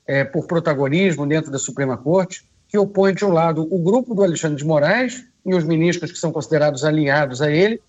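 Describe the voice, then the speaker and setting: Male radio commentator, hoarse with a cold, hesitant and measured, slightly higher voice, dull phone-in sound. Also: darkish tone. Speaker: political commentator.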